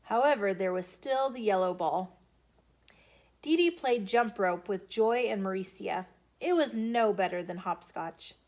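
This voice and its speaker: A woman hosting storytime, reading aloud to children in an expressive, deliberate storytelling way. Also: mid-range voice. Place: filmed in a bookstore.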